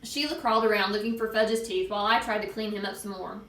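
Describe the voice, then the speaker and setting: A woman reading a children's book aloud as an expressive storyteller. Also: medium pitch, quick pace, roomy sound.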